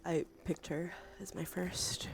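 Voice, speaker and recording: Female ASMR livestream host: hushed, often whispered, gravelly fry, congested from allergies, informal and affectionate, faint music underneath. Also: on the low side, level tone, slow pace. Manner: breathy, frequent drops into whisper; casual, sweary, affectionate. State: sniffly from allergies; sore, tired throat.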